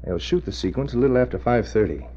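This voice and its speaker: A man's voice: medium pitch, animated, fast talker, dark tone, husky. An actor playing a smug, scheming gangster boss in an old radio drama, talking in cocky tough-guy slang. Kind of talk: 1940s radio acting. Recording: dull old radio recording.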